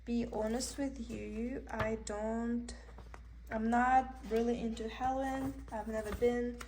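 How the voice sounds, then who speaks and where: A woman's voice: a little high, level tone, slow pace, darkish tone, a little hiss or hum. A young woman from Russia with a Russian accent, chatting casually and thinking aloud as she shows off her cross-stitch haul at a table. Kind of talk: casual show-and-tell haul. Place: indoors at a table.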